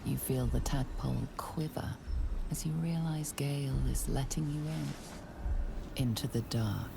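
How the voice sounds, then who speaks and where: An actress playing a solemn, ominous storyteller, narrating a dark fantasy game in a hushed, deliberate, suspenseful way. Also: female voice, extremely low pitch, creaky, heavy background noise, exaggerated voice. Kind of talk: second-person dramatic game narration.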